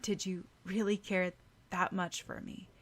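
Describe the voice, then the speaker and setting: A woman's voice: mid-range voice, little pitch movement, measured pace, lightly acted. A woman voicing a playful, affectionate and earnest teenage boy in an audiobook story, with noise behind her. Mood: tender, earnest.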